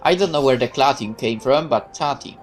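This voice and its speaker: Low-voiced female game host, wry and offhand. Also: fairly quick, faint music behind. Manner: wry, self-correcting. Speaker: let's play host. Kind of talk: casual aside while reading a game.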